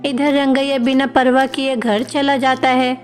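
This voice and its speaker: High-pitched woman narrating a story. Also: level tone, measured pace, long reverb tail, soft background music.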